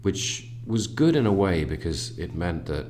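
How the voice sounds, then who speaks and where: Deep-voiced man, thoughtful and halting. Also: big pitch swings, darkish tone, a little hiss or hum. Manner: thoughtful, halting, with many fillers and restarts. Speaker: cellist in a string quartet, interview guest. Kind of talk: reflective conversational interview.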